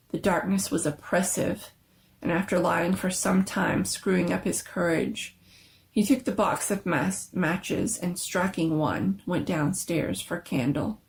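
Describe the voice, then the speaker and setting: A woman giving a dramatic, suspenseful reading of a scary story, with theatrical and deliberate phrasing. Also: lowish voice, gravelly. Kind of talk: dramatic scripted story narration.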